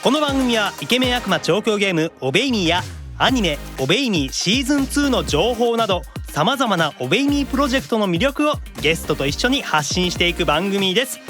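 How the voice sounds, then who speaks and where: A man with a Kansai accent hosting a radio show, bantering with excitable, teasing comebacks. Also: high voice. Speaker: radio show host, voice actor.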